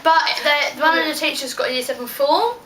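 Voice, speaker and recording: Young British female, chatty giggly storyteller, bright high voice, recorded at a distance from the mic. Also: a little fast. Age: teenager to young adult. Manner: animated, giggly, informal. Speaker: vlog host telling stories. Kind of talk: casual chat storytelling with a friend. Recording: roomy echo, distant mic, faint noise.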